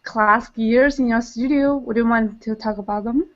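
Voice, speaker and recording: A woman's voice: fairly high pitch, fairly even intonation, normal speed. A woman from China, a recent graduate, introducing herself and asking a question in a shy, hesitant way. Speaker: student graduate. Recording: distant, dull lo-fi sound.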